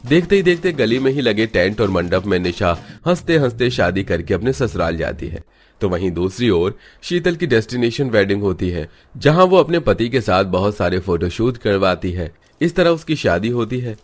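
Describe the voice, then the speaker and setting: A man's voice: lowish voice, very animated, normal speed. A man reading scripted narration for a cartoon family story.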